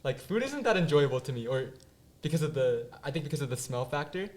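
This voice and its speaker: A man's voice: on the high side, big pitch swings, fast talker, a little mellow. A man in his twenties from the Bay Area, chatting casually on a student podcast, rambling with lots of fillers and frequent laughter. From Bay Area, California. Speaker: podcast guest. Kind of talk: casual chat.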